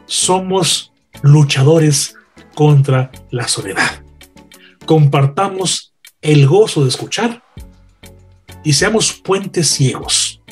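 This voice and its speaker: A man with a Mexican accent giving earnest, measured, eloquently phrased commentary as a broadcaster on a panel. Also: fairly high pitch, a little mellow, husky. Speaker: radio broadcaster, panel guest.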